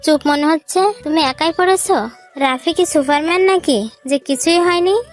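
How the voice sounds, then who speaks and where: A woman plays a middle-aged aunt, nosy and concerned, with a high-pitched voice. She speaks in a romantic audio drama with music underneath. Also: moderate pace, close to an everyday voice. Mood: chiding. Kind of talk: dramatised love story narration. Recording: music behind the voice.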